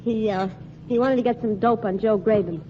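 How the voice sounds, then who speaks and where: An actress playing a streetwise young nightclub singer in a 1940s radio drama, brassy and slangy. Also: female voice, fairly high pitch, moderate pace, close to an everyday voice. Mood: defensive. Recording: muffled 1940s radio broadcast with faint hiss.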